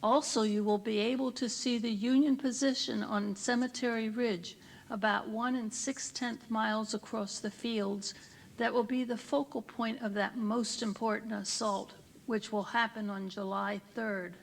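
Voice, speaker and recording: A woman's voice: on the high side, fairly even intonation, unhurried, vocal fry, slight background noise, rough recording. A woman reading scripted tour directions in a formal, precise manner. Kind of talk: scripted instructional narration.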